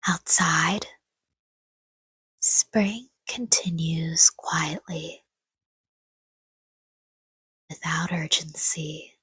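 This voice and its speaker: Low female voice, whispery and breathy, dry close sound, gentle, soothing, guided sleep meditation, short phrases, long pauses. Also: dry recording, rough recording. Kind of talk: guided sleep meditation, scripted, soft-spoken.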